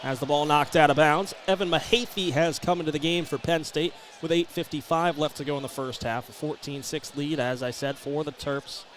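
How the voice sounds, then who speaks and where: An American man doing brisk, descriptive live basketball play-by-play that can rise to excited calls. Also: a little high. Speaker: play-by-play sports announcer. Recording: live arena broadcast, background ambient noise. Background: basketball game ambience.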